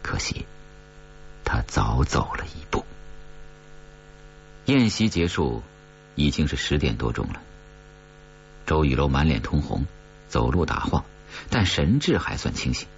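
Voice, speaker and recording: Very deep-voiced man narrating suspense. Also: big pitch swings, creaky, lo-fi sound, a little hiss or hum. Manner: measured, suspenseful delivery with deliberate pauses. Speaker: audiobook narrator. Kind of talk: dramatic horror story narration.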